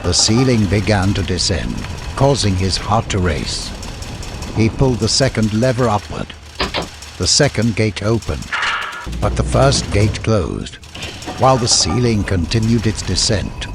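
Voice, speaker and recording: A man's voice: fairly low pitch, very animated, unhurried, gravelly, noisy background. A man reading scripted fiction as a narrator, in dramatic audiobook style.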